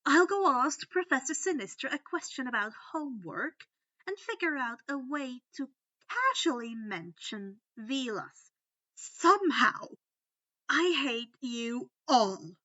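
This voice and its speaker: A woman's voice: high voice, sing-song, slow pace, sharp tone, no echo, muffled recording, full character voice. A woman voicing an indignant, grumbling, snobbish teenage schoolboy in a story reading, in a huffy, exclaiming manner. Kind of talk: audiobook-style fan fiction character reading.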